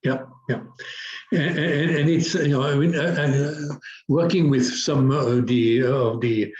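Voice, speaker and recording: A man's voice: mid-range voice, measured pace, a little mellow. A middle-aged man from France, a business coach, talks through an interview with lots of restarts and fillers. His voice sounds roomy and echoey, and the audio is dull and lo-fi, like a remote call. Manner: hesitant, many restarts and fillers. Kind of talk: conversational interview.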